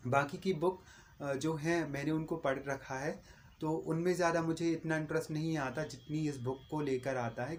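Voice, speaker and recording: A man's voice: on the high side, a little hiss or hum. A Hindi-speaking man giving an earnest, repetitive book recommendation in a casual video review.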